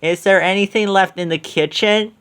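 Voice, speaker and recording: A man voicing a clever, bookish young woman sleuth, explaining in a brisk, matter-of-fact way. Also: high voice, a bit bright, smooth, pitched above the performer's own voice.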